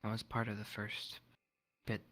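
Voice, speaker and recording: A man's voice: lowish voice, monotone, normal speed, a bit bright, natural voice. A man playing an earnest, deferential novice adventurer in a fantasy roleplay, speaking respectfully and a little hesitantly. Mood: troubled, candid. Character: earnest, deferential male novice adventurer, former wall guard. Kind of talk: improvised fantasy roleplay in character.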